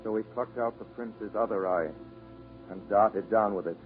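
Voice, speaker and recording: A man giving scripted storybook narration in measured phrases, in the style of 1940s radio drama. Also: fairly low pitch, phone-quality sound, natural voice. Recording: 1940s radio broadcast over music.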